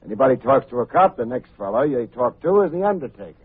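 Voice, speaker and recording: An actor playing a wry, self-assured hard-boiled private detective in a vintage radio drama, full of sardonic slang. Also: male voice, mid-range voice, sing-song, fast talker, breathy edge. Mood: tough, accusing. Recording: muffled, tinny vintage radio recording.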